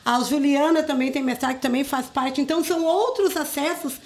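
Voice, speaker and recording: A woman's voice: sits high, a little fast. A woman from southern Brazil with a Rio Grande do Sul accent, speaking earnestly and conversationally as an interview guest. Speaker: interview guest, community group coordinator. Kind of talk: conversational radio interview talk.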